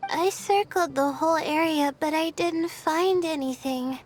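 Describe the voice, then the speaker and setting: A woman voicing a young female student astrologer in a video game, with a soft, earnest delivery. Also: sits high, measured pace. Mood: shy, earnest.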